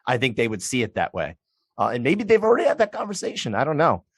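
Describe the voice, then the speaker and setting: A man's voice: medium pitch, huge pitch swings, slightly rough, no echo. A man co-hosting a podcast, talking casually and at length, often restarting his sentences. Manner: chatty, restarts often, puts on quick voices for others. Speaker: podcast co-host. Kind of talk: casual sports chat.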